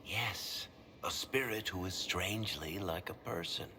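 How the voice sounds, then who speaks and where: An actor playing a wry, roguish middle-aged man in a video game, with a gravelly voice and a sardonic, conversational delivery. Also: low voice, measured pace, sharp tone, a little hiss or hum, heavily acted.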